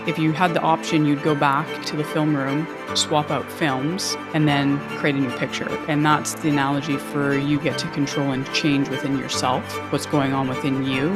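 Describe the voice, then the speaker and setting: A Canadian woman speaks as an interview guest and coach. Her delivery is conversational and stays within a narrow pitch range. She explains her points with analogies and repeats key phrases. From Toronto, Canada. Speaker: guest, mental performance coach and author.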